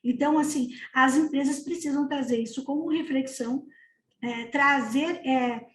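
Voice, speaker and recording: A woman's voice: sits high, normal speed. A woman speaking earnestly on a panel, asking rhetorical questions. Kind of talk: webinar panel discussion. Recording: echoey room.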